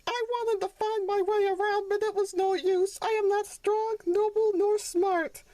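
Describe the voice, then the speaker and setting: Lady doing a little boy donkey from a kids book, super high voice, earnest and plucky. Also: fairly even intonation, measured pace, warm tone, no echo. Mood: sad, dejected. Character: plucky young male donkey, sensitive and eager to prove himself.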